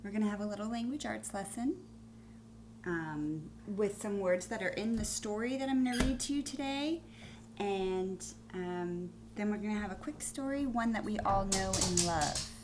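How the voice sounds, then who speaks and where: A woman schoolteacher giving a phonics lesson to young pupils on video, explaining deliberately and spelling things out. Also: mid-range voice, a little mellow, a little hiss or hum. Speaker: primary school teacher.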